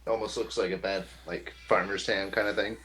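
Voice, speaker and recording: A man's voice: fairly low pitch, little pitch movement, fairly quick, fairly bright tone, faint music behind. A man casually describing a scene during a tabletop role-playing game.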